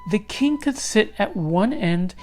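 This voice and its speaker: A man voicing Lady Di, a clever storybook wife, patiently explaining in a bright, helpful manner. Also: high voice, expressive, takes it slowly, soft background music, pitched above the performer's own voice.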